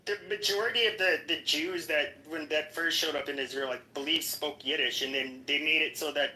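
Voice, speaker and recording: A man debating politics informally, challenging others and often halting as he builds his point. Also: a little high, fairly even intonation, bright, forceful tone. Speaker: debate participant. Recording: roomy echo with background noise.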